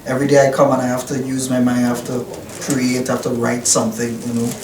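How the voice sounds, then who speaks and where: A young man answers interview questions thoughtfully, stopping and restarting often and leaning on "you know." His voice sits at an ordinary middle pitch. It is picked up from a distance in a roomy office, with some background hum. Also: monotone, quick pace, a little mellow. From Trinidad and Tobago. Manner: hesitant, many restarts and "you know" fillers. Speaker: newspaper reporter. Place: newspaper office. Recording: roomy, distant mic, faint noise.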